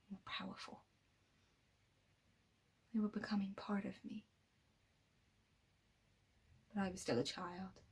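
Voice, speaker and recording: A woman performing a dramatic monologue as an embittered, coldly superior killer with psychic powers, pausing heavily and dropping into breathy whispers. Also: mid-range voice, close to an everyday voice. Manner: slow dramatic pauses, sinking into breathy whispers.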